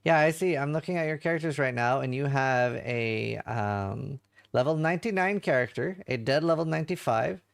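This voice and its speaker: A man's voice: mid-range voice, normal speed, vocal fry, no echo, studio quality. A man hosting a casual post-show interview, asking upbeat questions with many fillers. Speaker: show host.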